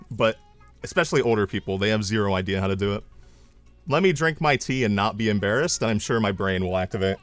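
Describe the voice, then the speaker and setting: Bright-voiced young male streamer. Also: on the low side, smooth, no room sound. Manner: self-mocking, jumps to loud triumphant yells. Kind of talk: casual live-stream chat.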